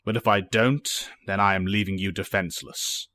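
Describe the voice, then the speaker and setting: An actor playing a calm, fatherly headmaster with a measured, formal way of talking in an audio drama. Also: deep voice, no room sound. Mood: patient, serious. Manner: measured, formal, gentle authority.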